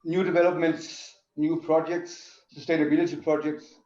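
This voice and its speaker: A man's voice: on the high side, flat delivery, moderate pace, a little mellow. A middle-aged man from Denmark explaining his work as an interview guest. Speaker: interview guest, tannery sustainability director. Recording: roomy, dull lo-fi sound.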